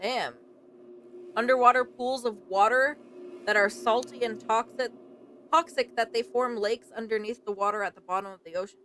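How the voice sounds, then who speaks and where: A woman's voice: on the high side, fairly even intonation, normal speed, a bit bright, slight background noise. A young woman doing casual let's play commentary, reacting informally and reading on-screen text aloud. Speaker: streamer playing and reacting to a game.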